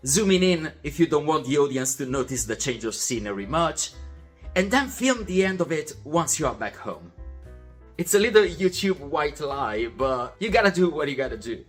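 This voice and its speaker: Man, young adult, animated, friendly and methodical, scripted vlog voiceover. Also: fairly high pitch, moderate pace, breathy edge, soft background music. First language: Italian. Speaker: vlog host.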